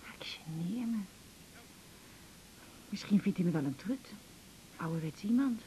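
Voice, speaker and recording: A woman's voice: lowish voice, animated, a little slow, dark tone, a little hiss or hum, poor audio. An actress playing a self-conscious, anxious middle-aged mother in a Dutch radio drama, questioning and unsure, with an accent from the Netherlands. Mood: hesitant. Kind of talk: naturalistic 1980s radio drama acting.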